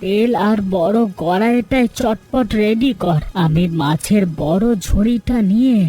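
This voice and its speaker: Cartoon casting: caring, honest elder brother from a village played by an actor, mellow, earnest delivery, music underneath. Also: medium pitch, measured pace, natural voice. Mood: earnest, concerned. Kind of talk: dramatic Bengali cartoon story dialogue.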